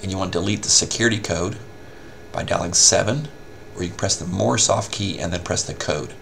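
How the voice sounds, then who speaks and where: A man giving a casual, step-by-step software tutorial as a help desk instructor. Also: low-pitched, a little fast, vocal fry, slight background noise. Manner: informal step-by-step explaining.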